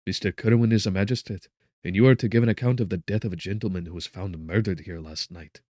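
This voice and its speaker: A man voicing a stern, gruff, blunt townsman in an audiobook. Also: low voice, steady tone, quick pace, warm tone, no room sound, studio quality. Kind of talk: audiobook character acting.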